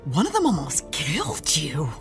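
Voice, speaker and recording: A woman playing an earnest, dutiful young vampire hunter in an audio drama, with dramatic pauses. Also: deep voice, huge pitch swings, soft background music.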